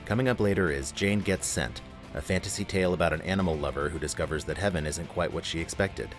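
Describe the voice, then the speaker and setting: A man with a low voice reads a scripted introduction as host of an audio drama program. Light music plays behind him. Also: quick pace. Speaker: festival host.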